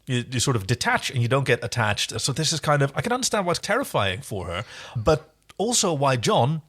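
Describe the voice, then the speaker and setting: A man's voice: medium pitch, big pitch swings, a little fast, a bit bright, breathy edge. A man co-hosting a podcast, chatting casually as he recaps a TV episode. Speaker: podcast co-host.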